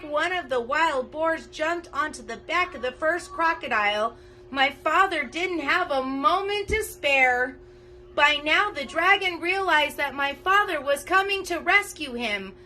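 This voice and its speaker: A woman reading a children's storybook aloud in an animated, emphatic way. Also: high-pitched, unhurried, a little hiss or hum, rough recording. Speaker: parent volunteer reading aloud to schoolchildren. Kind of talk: expressive children's storybook narration.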